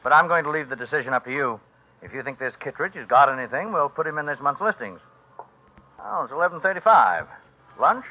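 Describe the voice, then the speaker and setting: An actor playing a genial, easygoing publishing boss who chats in short phrases in a 1950s radio drama. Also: male voice, fairly high pitch, animated, fairly quick. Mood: breezy, friendly. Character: genial, breezy male publishing boss, casually delegating. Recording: muffled old radio recording, background noise.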